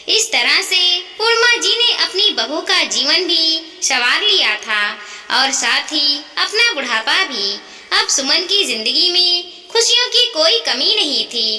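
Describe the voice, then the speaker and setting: Female narrator, piercingly bright and high, earnest scripted moral tale, echoey reverberant recording. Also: sing-song, slow pace, recorded from a distance. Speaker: storyteller, narrator. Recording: echoey, reverberant hall-like sound.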